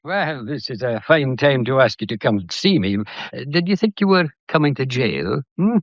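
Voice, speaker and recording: An actor playing a genial, shrewd, fussy older police inspector in a radio drama, with a folksy, probing way of talking. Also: male voice, mid-range voice, lively intonation, moderate pace, highs cut off, a little hiss or hum, natural voice. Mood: genial, teasing.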